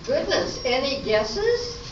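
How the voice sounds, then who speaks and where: A chatty, theatrical comedy lady acted by a woman in an amateur radio play. Her delivery is high, slow and very animated, heard at a distance in an echoey room. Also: heavily acted. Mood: dramatic. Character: dramatic, chatty women: fussy diner, gossipy witness. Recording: noisy echoey room, distant muffled mic.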